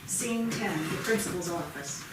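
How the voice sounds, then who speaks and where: A woman's voice: lowish voice, lively intonation, moderate pace. A woman giving scripted narration as the stage directions reader at a play reading. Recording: echoey theatre, distant mic, noisy.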